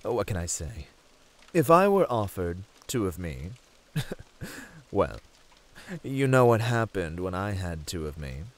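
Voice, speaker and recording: Man playing a slow-talking, seductive British demon. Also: low-pitched, sing-song, heavily acted. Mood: seductive, amused. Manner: slow, purring, theatrical teasing. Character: smug, seductive male demon, teasing and domineering. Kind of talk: flirtatious audio roleplay.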